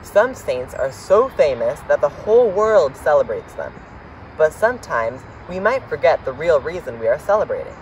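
A man's voice: on the high side, very animated, moderate pace. A young adult man reading a children's picture book aloud outdoors, recorded from a distance. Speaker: reader. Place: outdoors in autumn, seated by shrubs. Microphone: camera several meters away. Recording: outdoor, distant mic, faint noise.

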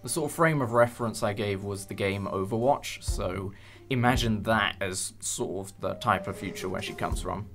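Low-voiced young man, hesitant talker. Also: a bit bright. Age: young adult. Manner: hesitant, rambling delivery with many pauses. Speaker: creator of a fan audio drama. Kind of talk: casual behind-the-scenes interview. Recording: light music bed under speech. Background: background music with guitar.

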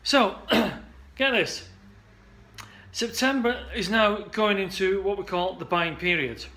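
A man in his forties coaches salon owners straight into a desk webcam. His voice sits high and bright, with a chatty, upbeat flow full of restarts and "uh"s. It sounds like an indoor office recording. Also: takes it slowly. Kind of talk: casual direct-to-camera pitch.

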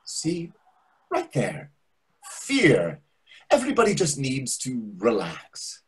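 An actor playing a pompous, needling waiter with a husky voice, theatrical and condescending in a readers theatre comedy. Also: male voice, mid-range voice, wildly swooping pitch, slow, exaggerated voice. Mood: preachy. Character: pompous, needling, over-familiar Greek restaurant waiter. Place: indoors on a video call. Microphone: video call microphone.

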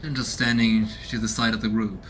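A man's voice: on the low side, little pitch movement, fairly quick, fairly bright tone, a little hiss or hum, poor audio. A man in his thirties doing casual let's play commentary and reading game text aloud as he goes. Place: small room indoors at home. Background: game music.

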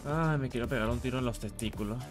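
A man's voice: medium pitch, fast. A man chatting casually on a live game stream, slangy and sweary as he gripes like a regular player. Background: music.

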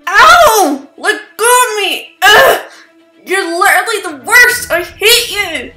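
A woman's voice: very high voice, big pitch swings, takes it slowly, pushed and bright, exaggerated voice. A woman playing a feisty, girlish young princess with an emphatic, petulant delivery in a comic fandub. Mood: angry, defiant. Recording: roomy echo, faint music behind.

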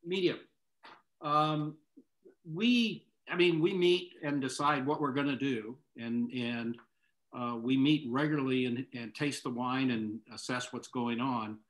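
An older man, a guest on a video call from home, explaining casually with hesitant pauses. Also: medium pitch, fairly bright tone, rough recording. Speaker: winery owner, interview guest. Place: home room with bookshelves. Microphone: video call microphone.

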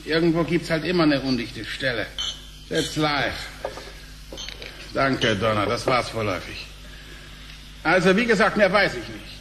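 An actor playing a brash, crude and jovial company director in a radio play, blustering in blunt, slangy exclamations with an excitable delivery. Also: male voice, mid-range voice, a little slow, long pauses, slight background noise, natural voice. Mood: puzzled. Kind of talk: German radio play acting.

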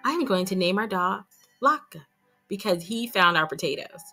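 A woman's voice: mid-range voice, lively intonation, moderate pace, pushed and bright, soft background music, pitched below the performer's own voice. A woman voicing an earnest, kind-hearted young boy in a storybook.

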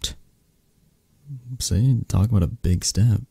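A man playing a possessive, flirty mafia boss who dotes on his lover, murmuring softly and intimately in an ASMR romantic roleplay, with a breathy, husky, hesitant and reassuring delivery. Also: on the low side, slow, no room sound, full character voice. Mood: fond.

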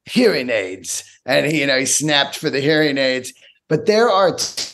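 A man's voice: fairly high pitch, fairly bright tone. A man chatting casually as he hosts a podcast interview. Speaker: podcast host.

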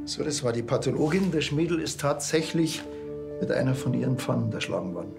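A man's voice: medium pitch, lively intonation, brisk, gravelly, over music. An actor playing a laconic small-town policeman in a crime comedy, with a Bavarian accent and dry, offhand manner. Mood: dry, matter-of-fact.

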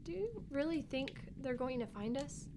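Woman acting high-pitched, candid young sister. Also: animated, moderate pace, background noise. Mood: uneasy. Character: candid, worried young sister stuck in snowbound cabin. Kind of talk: family audio drama acting.

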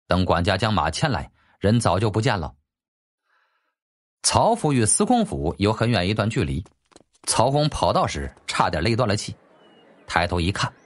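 A man narrating a scripted story as a dramatic audiobook storyteller. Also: fairly low pitch.

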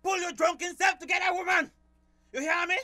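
Shrill, menacing Belizean crime boss. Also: male voice, fairly quick, pushed and bright, no echo, shouting, exaggerated voice. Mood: furious. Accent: Belizean Creole. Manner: barking, domineering. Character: menacing, short-tempered male crime boss. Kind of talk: radio drama acting.

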